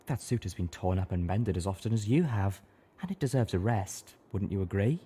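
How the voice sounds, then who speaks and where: A man's voice: fairly low pitch, expressive, brisk, warm tone, slightly rough. Dude doing a fancy lady pony, theatrical, singsongy, sweet but chiding, audiobook voice, very swoopy. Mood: gently urging. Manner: sing-song, theatrical. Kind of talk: audiobook character acting.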